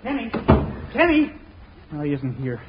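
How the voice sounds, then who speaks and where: High-voiced bashful, bumbling young fellow. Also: male voice, huge pitch swings, normal speed, over loud music. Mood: casual. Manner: hesitant, stammering, sheepish. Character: bashful, bumbling young man, goofy and naive. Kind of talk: 1940s radio comedy acting. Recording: noisy, dull 1940s radio recording.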